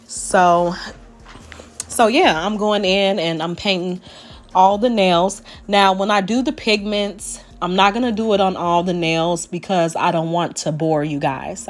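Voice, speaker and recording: A woman talks slowly and chattily to her viewers, her naturally deep voice a little raspy after a sinus infection. She sits somewhat low in pitch. Soft music plays faintly behind her voice-over. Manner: chatty, rambling, addresses viewers directly. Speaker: nail tutorial creator. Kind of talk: casual voice-over tutorial.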